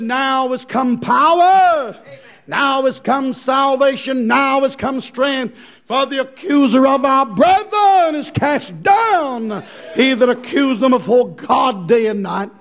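A man's voice: extremely high pitch, fairly bright tone. An American man preaching a fervent revivalist sermon, impassioned and emphatic, repeating phrases and rhetorical questions.

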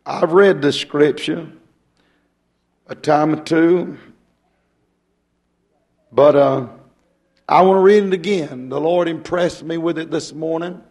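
A hoarse male pastor, talking folksy and rambling, then reading scripture in a rising preaching cadence. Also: fairly high pitch, slow, long pauses, darkish tone.

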